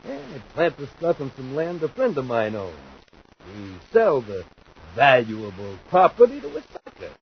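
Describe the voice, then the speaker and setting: An actor playing a scheming, greedy swindler who sneers and laughs scornfully, in a 1950s radio drama. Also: male voice, mid-range voice, very animated, normal speed, close to an everyday voice. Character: scheming male swindler metallurgist, mocking and greedy. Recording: dull old radio recording with hiss.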